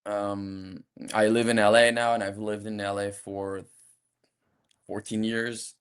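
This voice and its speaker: A man's voice: on the low side, slow, smooth. A man from France with a light French accent, an actor talking casually in an interview with frequent restarts. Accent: French, softened by years abroad. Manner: relaxed, hesitant, many restarts. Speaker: actor guest.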